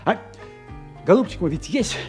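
An excitable scientist in a Soviet-era radio play, his high voice swooping up and down. He lectures with tipsy insistence, stammering and repeating phrases for emphasis, over clear background music. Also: moderate pace. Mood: earnest, lecturing. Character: excitable, tipsy, learned male scientist explaining mysteries.

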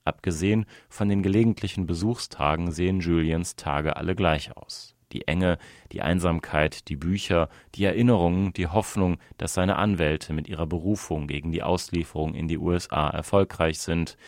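A man's voice: sits low, fairly even intonation, moderate pace, hardly any pauses, dry recording, clean studio sound. A man reading a written article aloud as scripted narration. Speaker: reader of a translated magazine article.

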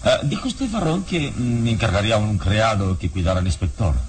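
A man acting a calm heroic detective in an old Mexican radio serial, speaking in a measured, formal, commanding way. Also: on the low side, a little hiss or hum. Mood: confident, commanding. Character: calm, deep-voiced heroic detective adventurer.

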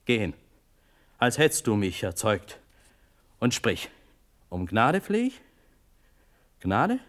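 Slow, desperate young prince. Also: male voice, fairly low pitch, huge pitch swings, fairly bright tone, full character voice. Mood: urgent pleading. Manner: fervent, declamatory, pleading. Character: desperate young prince begging for his life. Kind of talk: classical German stage verse, radio drama.

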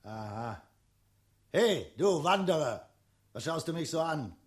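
A leering tyrant of a dragon in human shape in a vintage radio play. He speaks slowly with wildly varied pitch, turning from oily sweet-talk to barked commands. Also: big pitch swings. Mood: mocking, domineering. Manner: barking orders, then oily sweet-talk. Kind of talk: 1960s German radio play acting.

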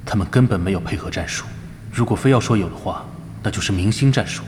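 Man playing low-voiced, sober rival guild commander. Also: quick pace, a little hiss or hum, lightly acted. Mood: grave musing. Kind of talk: Chinese audio drama inner monologue. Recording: clear music bed behind the voice.

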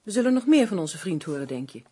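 An actress playing a sharp, sceptical crime writer in a radio drama, with a brisk, bossy, dismissive manner. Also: female voice, on the low side, sing-song, breathy edge. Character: sharp, sceptical woman co-writing crime novels with her partner.

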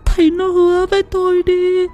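A frail dying wife, faint and high. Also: male voice, monotone, moderate pace, mellow, soft background music. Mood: pleading. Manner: faint, whispery pleading.

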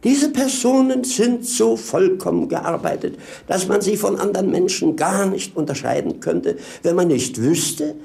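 A man's voice: sits high, expressive, a little slow, creaky, few pauses, mellow, exaggerated voice. An actor playing a masked fool in a 1950s radio play, rambling like a theatrical showman. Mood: grandiose patter.

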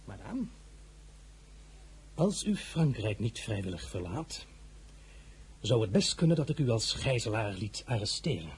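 An actor playing an imperious, boastful French emperor in a historical radio drama, pompous and declamatory, by turns mocking and threatening. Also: male voice, lowish voice, sing-song, a little slow, long pauses, darkish tone, a little hiss or hum. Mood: cold, menacing. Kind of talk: Dutch-language historical radio drama acting.

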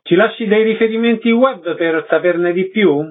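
A man's voice: high-pitched, normal speed. A man working as a radio interviewer, putting short questions to a guest and presenting the programme. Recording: thin narrow-band phone-like sound.

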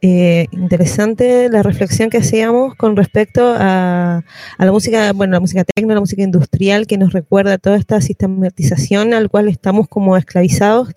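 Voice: medium pitch, fairly even intonation, a little fast, mellow, no echo. A radio host with a Chilean accent, chatting casually and reflectively in an informal, slangy way. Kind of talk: casual reflective interview chat.

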